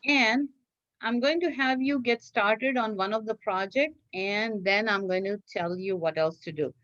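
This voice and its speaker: A woman's voice: mid-range voice, measured pace, fairly bright tone, no room sound, muffled recording. A woman teacher giving step-by-step craft instructions to children in a patient, repetitive way, often checking that they follow.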